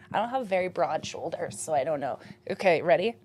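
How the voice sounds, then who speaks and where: A woman's voice: fairly low pitch, a little mellow, slightly rough. Giggly, teasing woman podcast host. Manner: giggly, teasing, talks in quick playful bursts. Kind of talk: casual joking chat.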